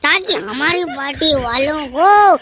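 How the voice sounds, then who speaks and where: A man voicing a drunken, wheedling husband in a rustic Hindi cartoon skit, his voice squeaky and artificially pitched up, blustering and pleading in repeated bursts. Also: lively intonation, takes it slowly, pushed and bright, heavily acted. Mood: tearful confessing. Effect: pitch raised like a cartoon.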